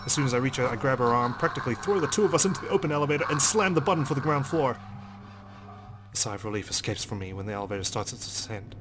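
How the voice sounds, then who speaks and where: A man's voice: mid-range voice, lively intonation, very fast, noisy background. A man hosting a game playthrough, reading narration aloud expressively and dramatically. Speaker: gaming video host. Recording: game music behind the voice.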